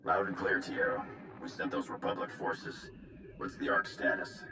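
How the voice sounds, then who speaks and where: An actor playing a brusque military officer in a video game. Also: male voice, normal speed, music in the background, natural voice. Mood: brisk. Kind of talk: video game cutscene acting.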